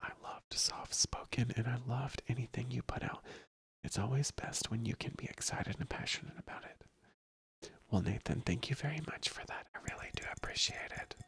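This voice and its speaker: An ASMR streamer chatting casually in a hushed, breathy whisper that slips into a soft murmur, rambling and repeating phrases. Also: mid-range voice, normal speed, no echo. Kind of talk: ASMR, casual live chat.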